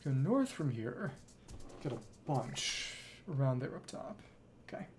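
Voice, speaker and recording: A man's voice: mid-range voice, very animated, a little slow, darkish tone, a little hiss or hum. A man streaming a video game, giving casual live commentary in short fragments, muttered to himself.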